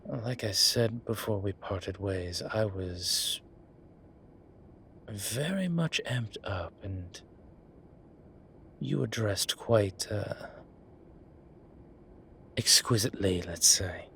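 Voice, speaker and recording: A man playing a polite, flirtatious incubus demon in an intimate roleplay audio drama, with hesitant, formal phrasing and a softness that turns breathy at times. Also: fairly low pitch, lively intonation, extremely slow, pauses often, slight background noise, full character voice. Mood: flirtatious, teasing. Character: courteous, flirtatious male incubus demon, restrained and apologetic.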